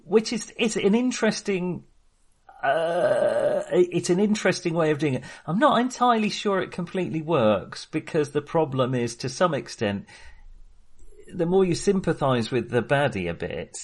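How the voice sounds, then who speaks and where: A man's voice: fairly high pitch, very animated, moderate pace, breathy edge. A man co-hosting a film discussion, talking casually and analytically as he thinks aloud in an informal, chatty way. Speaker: film podcast co-host.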